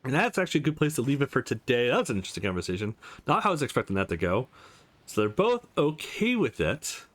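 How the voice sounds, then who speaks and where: A man's voice: medium pitch, big pitch swings, quick pace. A man hosting a let's play, commenting casually on a visual novel as he goes. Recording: music under the voice. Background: game music.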